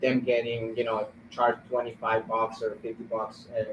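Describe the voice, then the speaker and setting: A man gives an informal webinar talk as an organizer. He speaks haltingly, searching for his words. The audio is roomy, a little dull and distant, with faint noise behind him. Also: fairly low pitch, completely flat delivery. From Guatemala. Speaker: community organizer on a panel.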